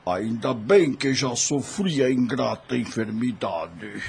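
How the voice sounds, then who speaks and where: A weary old clergyman of a radio drama. He speaks slowly in a gravelly voice with lively intonation, over faint background noise. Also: medium pitch, exaggerated voice. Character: weary elderly clergyman at a cemetery.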